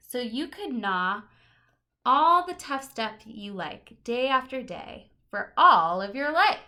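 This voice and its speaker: A woman's voice: on the high side, pushed and bright. A woman teacher reading a children's nonfiction picture book aloud, expressively stressing key words and adding short explanatory asides.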